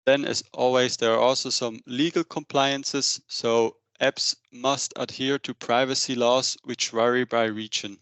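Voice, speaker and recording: A man in his thirties giving a semi-scripted business webinar presentation into a headset, with a halting delivery. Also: medium pitch, fairly even intonation, fairly bright tone, no room sound. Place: indoor room, on a video call.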